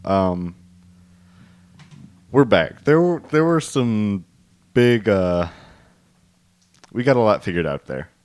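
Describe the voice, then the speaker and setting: A man hosting an improvised comedy podcast, talking quickly with bursts of laughter and mock alarm. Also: lowish voice, expressive, a little mellow. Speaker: podcast host.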